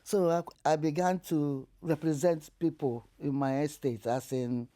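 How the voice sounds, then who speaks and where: An older woman with a Nigerian accent tells her life story in an interview, animated and laughing through hard memories. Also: low-pitched, unhurried. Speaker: interview guest.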